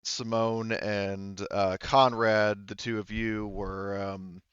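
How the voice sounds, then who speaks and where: Male game master, lowish clean voice, halting recap delivery, dry and slightly dull recording. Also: flat delivery, a bit bright, clean tone, no room sound. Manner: halting, thinking aloud. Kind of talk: recapping a tabletop roleplaying session.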